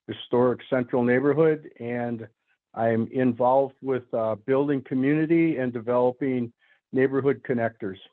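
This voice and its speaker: A man's voice: medium pitch, fairly even intonation, moderate pace. Wisconsin guy, neighborhood organizer, tinny phone sound. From Wisconsin, USA. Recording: phone-like call audio.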